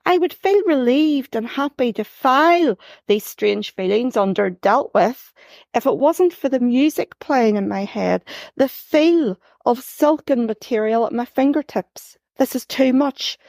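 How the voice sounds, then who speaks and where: A woman reading her own first-person story aloud, expressive and rising sharply for the quoted shouts. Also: high voice, unhurried, vocal fry, dry recording, clean studio sound.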